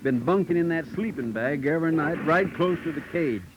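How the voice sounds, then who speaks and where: An actor playing a tough, folksy cowboy animal trapper with a Western American drawl in a 1940s radio drama. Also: male voice, on the high side, brisk, lo-fi sound, natural voice. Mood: gruff, folksy. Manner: folksy, rustic slang, plainspoken. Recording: noisy old 1940s radio recording.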